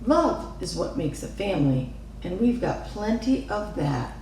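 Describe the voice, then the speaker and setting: Lady being a calm patient mom in a kids story, very echoey, bit far from the mic. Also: lowish voice, unhurried. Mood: reassuring. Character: gentle, reassuring storybook mother of a young daughter. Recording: echoey room, distant mic, faint noise.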